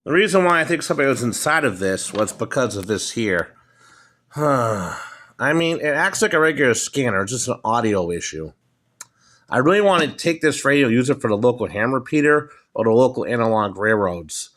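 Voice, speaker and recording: Middle-aged American male, mid-range voice, casual hobbyist presenter, unscripted, matter-of-fact and a bit halting. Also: mid-range voice, big pitch swings, a bit bright. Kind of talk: casual off-the-cuff product show-and-tell. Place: indoors at a wooden table in a home. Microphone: handheld phone, speaker behind camera.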